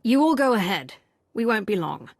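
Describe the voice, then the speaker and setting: An actress voicing a calm, caring and resolute female military commander in a video game, speaking in a measured way. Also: mid-range voice, no echo. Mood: composed. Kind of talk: video game cutscene acting.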